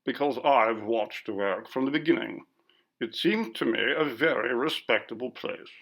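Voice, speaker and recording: A man's voice: medium pitch, normal speed, gravelly, fairly bright tone. A man voicing a pompous, curt college bursar in a ghost story reading, with a disapproving manner. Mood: complacent, curt.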